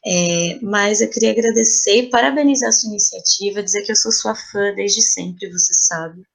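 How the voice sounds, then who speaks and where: A woman with a Brazilian Portuguese accent talks as a podcast guest. Her voice sits at an average pitch for a woman, and her delivery is casual and conversational. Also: normal speed, creaky, rough recording. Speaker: interview guest, playwright.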